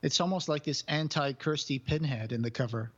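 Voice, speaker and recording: A man's voice: a little high, fairly quick, fairly bright tone, dull audio. A man co-hosting a podcast, chatting casually and emphatically as he enthuses through a review. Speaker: podcast co-host.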